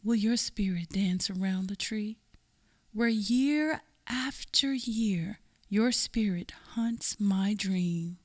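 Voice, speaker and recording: A woman reads a poem in a solemn, measured way. Her pace is slow and unhurried, and her pitch sits in the middle range. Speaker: poem reader. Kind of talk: dramatic poetry reading.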